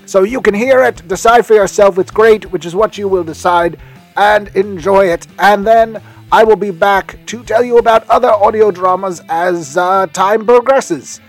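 A man's voice: high voice, normal speed, faint music behind. A man playing an eccentric, rambling podcast host who interrupts himself while chattily making recommendations. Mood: eager. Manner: rambling, self-interrupting, swinging from muttering to hearty. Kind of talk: chatty promotional monologue.

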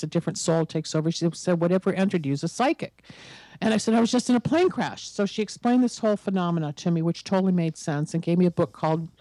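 A woman in her sixties from the United States, a guest telling her story at length in an interview, emphatic and talkative, her voice hoarse from a virus. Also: fairly low pitch, dark tone, no room sound, poor audio. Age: 60s. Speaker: guest psychic.

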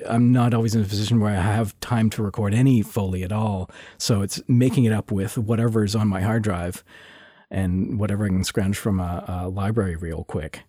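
A man with a low, mellow voice chats easily as a sound designer guest. He talks in a relaxed way, laughs now and then and restarts his sentences often. The recording is close and dry. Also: no room sound. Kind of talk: casual interview chat. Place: lives in Toronto.

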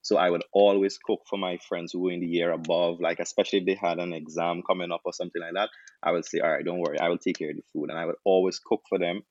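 A young man with a Trinidadian accent, a doctor turned chef, telling his story in a relaxed interview with many restarts. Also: low-pitched, fast, highs cut off. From Trinidad and Tobago. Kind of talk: casual interview answers.

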